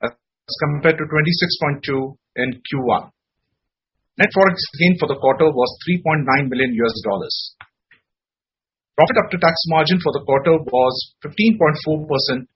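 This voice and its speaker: Higher-pitched man on noisy muffled call. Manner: matter-of-fact reading of figures. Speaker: finance executive on earnings call. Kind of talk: formal scripted financial report. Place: conference call.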